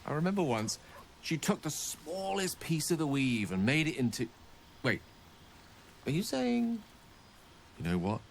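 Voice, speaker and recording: An actor playing a wistful, self-deprecating wizard in a video game, with a refined British accent and a theatrical, wordy manner. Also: male voice, a little high, sing-song, a little slow, vocal fry, long pauses, a little hiss or hum, heavily acted. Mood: flustered, playful. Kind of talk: video game dialogue acting.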